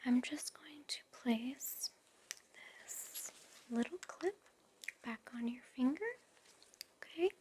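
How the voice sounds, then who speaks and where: Woman doing a gentle whispery asmr nurse, super slow and soothing, bit of room noise behind. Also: high-pitched. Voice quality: breathy whisper. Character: gentle, soothing, attentive female recovery nurse. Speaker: ASMR performer. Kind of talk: whispered ASMR medical roleplay.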